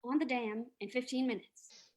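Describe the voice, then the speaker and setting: A woman plays a sleuth's earnest young companion in old radio drama style. Her voice is high and anxious, with a dutiful, attentive manner. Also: fairly even intonation, moderate pace, lightly acted.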